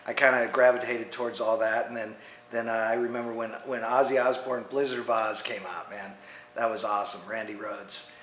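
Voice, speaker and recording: An American man from Texas, a musician talking casually and haltingly in a band interview, often repeating himself. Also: mid-range voice, level tone, fairly bright tone. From Dallas, Texas, USA. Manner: rambling, halting, repeats words. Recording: roomy echo, faint noise.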